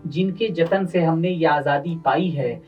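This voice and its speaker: A man's voice: fairly high pitch, unhurried, vocal fry, recorded from a distance, lo-fi sound. A man hosts a poetry gathering, heard a little away from the microphone with faint plucked-string music underneath. He delivers couplets and introductions in a declamatory style, repeating phrases for effect. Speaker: poet and host of poetry gathering. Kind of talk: Urdu-Hindi couplet recitation and formal introductions.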